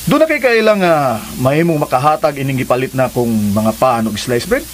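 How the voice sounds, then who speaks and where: An actor playing a persistent, generous young suitor with a Cebuano accent in a radio drama, talking in a casual, coaxing way. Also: male voice, mid-range voice, animated, normal speed, lightly acted. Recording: hissy recording, faint crackle.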